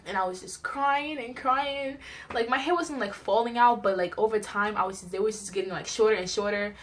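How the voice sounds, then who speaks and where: A woman's voice: on the high side, a little fast, a little hiss or hum. Young New woman, casual storyteller. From New York, United States. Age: young adult. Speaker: vlogger telling personal stories.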